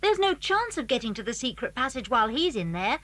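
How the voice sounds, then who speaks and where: A plucky British schoolboy adventurer in a children's audio drama. The voice is high, bright and animated, always scheming, recorded close and dry. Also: female voice, brisk, dry recording, heavily acted. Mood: worried, urgent.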